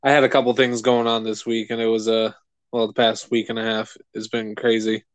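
A man co-hosting a casual podcast, rambling and animated, swearing freely. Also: fairly low pitch, brisk, no room sound. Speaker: podcast co-host. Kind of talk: casual chat.

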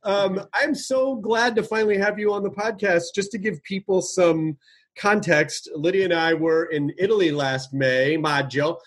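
A man hosting a podcast in casual chat, talking with many fillers and self-corrections, and slipping into halting learner Italian. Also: high voice, fairly even intonation. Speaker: podcast host.

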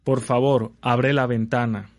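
A man reading translated phrases for language learners in an even, measured way. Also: medium pitch, dry recording, clean studio sound.

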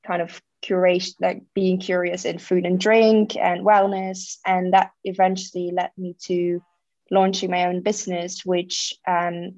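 A woman's voice: on the low side, darkish tone, no echo. Woman, interview guest, thoughtful with many ums, slightly muffled recording. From Netherlands. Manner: thoughtful, with frequent "um" pauses. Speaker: guest, company founder. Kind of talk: casual interview chat.

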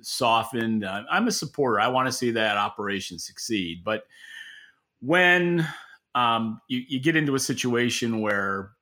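A man co-hosting a podcast, giving opinionated market commentary in an informal, halting way with repeated words. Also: mid-range voice, bright, forceful tone. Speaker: podcast co-host and industry analyst.

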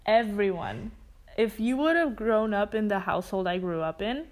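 A woman's voice: mid-range voice, normal speed, a little mellow. A young woman from Germany chatting casually with a friend, laughing easily and restarting her sentences. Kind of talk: casual chat between friends.

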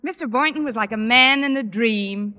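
An actress playing a wisecracking middle-aged high school teacher with dry, deadpan sarcasm in a 1950s radio sitcom. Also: female voice, normal speed, dull audio. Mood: wry. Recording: noisy old radio broadcast recording.

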